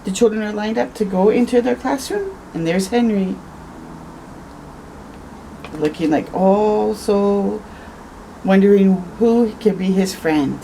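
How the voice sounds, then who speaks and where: A woman reading a picture book aloud to children as a storytime host, expressive, with conversational asides. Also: medium pitch, takes it slowly, vocal fry, long pauses, slight background noise, poor audio. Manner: conversational asides, tags questions with "eh".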